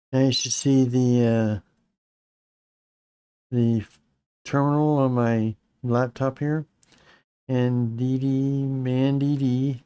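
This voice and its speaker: Middle-aged male streamer, slightly raspy, casual and rambling; even delivery, close dry mic. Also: medium pitch, no room sound. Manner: rambling, with fillers and restarts. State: slightly raspy, clearing his throat. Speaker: host of a live-streamed Linux tutorial. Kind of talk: casual live-stream tech walkthrough.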